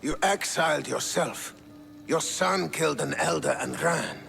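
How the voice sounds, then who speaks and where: A man's voice: a little high, measured pace, gravelly, fairly bright tone, exaggerated voice. A man acting a haughty, scornful Spartan king in a video game, commanding and sardonic, with a declamatory delivery. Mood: indignant. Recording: reverberant stone hall, music underneath.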